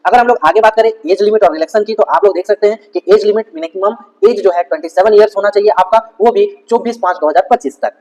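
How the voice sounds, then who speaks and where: A man's voice: high-pitched, quick pace, hardly any pauses, darkish tone. A man hosting an informational video, explaining a notice step by step in an instructional manner. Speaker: YouTube host explaining government job vacancies. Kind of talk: informational video narration, walking through a notice on screen. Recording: echoey hall-like reverb.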